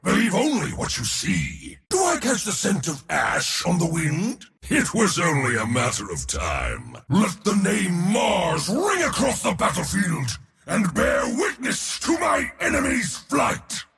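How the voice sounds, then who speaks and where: A man voicing a proud, boastful god of war for a video game, gravelly, pompous and theatrical in delivery. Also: sits high, wildly swooping pitch, a little slow, fairly bright tone, shouting, long reverb tail, exaggerated voice. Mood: battle-roused, commanding. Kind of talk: video game character voice lines.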